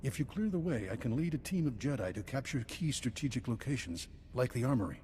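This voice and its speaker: Game cast: man as a steady Jedi master, solemn delivery, long reverb. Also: medium pitch, quick pace, a little hiss or hum, lightly acted. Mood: calm. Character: wise male Jedi master, calm and steady. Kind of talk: game voice acting.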